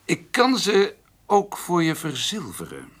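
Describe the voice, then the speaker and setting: A man's voice: a little high, huge pitch swings, slow pace, fairly bright tone, breathy edge, heavily acted. An actor playing a shifty, evasive male notary in a radio play, hesitant and insinuating as he guards his reputation. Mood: discreet, probing. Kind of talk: radio drama dialogue.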